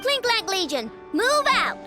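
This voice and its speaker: A woman's voice: extremely high pitch, sing-song, a little slow, full character voice. A woman voicing a headstrong young girl inventor in a video game, bossy and declamatory as she commands robots. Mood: defiant, determined. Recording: music behind the voice.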